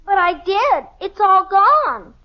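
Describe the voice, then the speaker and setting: A woman's voice: extremely high pitch, very animated, slow pace, full character voice. An actress playing a pesky, literal-minded little girl with an American accent, whiny and teasing, in an old radio sitcom. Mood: whiny, puzzled. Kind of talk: 1950s radio sitcom acting. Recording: noisy dull old radio recording.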